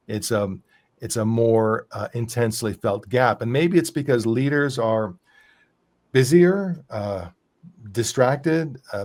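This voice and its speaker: A man hosting an interview podcast, asking long-winded questions and thinking aloud with restarts. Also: mid-range voice. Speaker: podcast host. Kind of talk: interview host chat.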